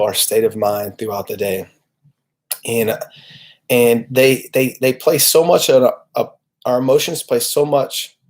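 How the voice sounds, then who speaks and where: A man's voice: medium pitch, a little mellow. A man in his late thirties giving an informal, reflective motivational monologue, talking earnestly and searching for his words as he goes. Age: late 30s. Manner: halting, searching, conversational, earnest. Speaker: motivational video host.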